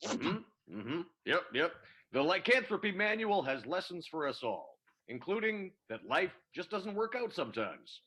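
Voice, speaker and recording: A man's voice: sits high, big pitch swings, unhurried, bright, forceful tone, husky, heavily acted, rough recording. A man playing a folksy, patient werewolf mentor in an improvised radio comedy, chatty and explanatory. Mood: patient, instructive. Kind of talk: improvised radio play acting.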